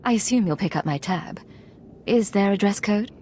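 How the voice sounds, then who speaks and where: A woman's voice: mid-range voice, animated, normal speed, a little mellow, a little hiss or hum, close to an everyday voice. An actress playing a self-assured female imperial agent in a video game, controlled and wry. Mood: wry, professional.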